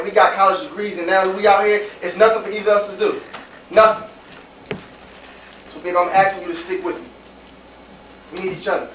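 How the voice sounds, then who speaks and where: A man's voice: sits high, fairly bright tone. A man acting on stage as a frustrated, determined young American man, pleading and insistent in slangy speech. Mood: apologetic, pleading. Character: frustrated, determined young man hustling for his pregnant partner. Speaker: stage actor. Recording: roomy distant stage recording with hiss.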